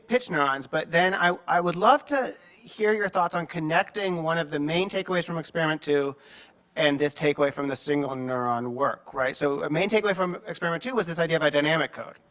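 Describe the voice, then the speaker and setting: Man asking a halting academic question. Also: a little high, fairly bright tone. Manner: hesitant, repeats words while building up a question. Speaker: audience member asking a question.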